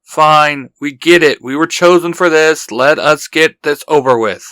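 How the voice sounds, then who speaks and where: A man's voice: on the high side, a little slow, bright, forceful tone, clean tone, dry recording. A man voicing a grumpy sarcastic young hero. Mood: annoyed. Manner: impatient, sarcastic. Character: eye-rolling grumpy young male hero.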